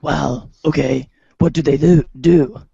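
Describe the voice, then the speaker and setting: A performer playing a bemused young kid in a fan script reading, casual and deadpan. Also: medium pitch, takes it slowly, gravelly, slightly rough, no echo, highs cut off, full character voice.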